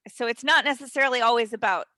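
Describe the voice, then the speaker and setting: A middle-aged woman gives casual interview answers in a very bright, forward voice. She is frank and joking, stressing her points, and the sound is dry and close. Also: fairly high pitch, quick pace, no echo. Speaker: guest, voice actor and dialect teacher.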